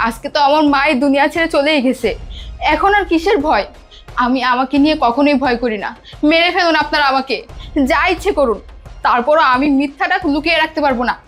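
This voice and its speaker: A woman's voice: high voice, fast, fairly bright tone, soft background music. A woman playing a defiant, grieving young daughter. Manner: emotional, pleading.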